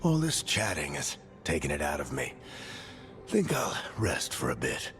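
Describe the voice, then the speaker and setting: A man's voice: very deep voice, wildly swooping pitch, measured pace, a bit bright, heavily acted. A man playing a wry, weary older mentor and storyteller in a fantasy game. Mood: fatherly, weary. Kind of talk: dramatic narration and game dialogue.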